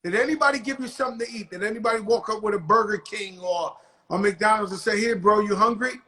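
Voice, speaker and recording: A man's voice: high-pitched, quick pace, sharp tone. A man from the South Bronx, hosting a casual interview in blunt street slang. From South Bronx, New York. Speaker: host.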